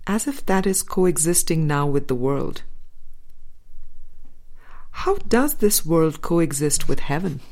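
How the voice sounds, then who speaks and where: A woman reading a spiritual audiobook as a scripted narration, with measured, deliberate phrasing and pauses. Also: low voice, a little mellow. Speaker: audiobook reader.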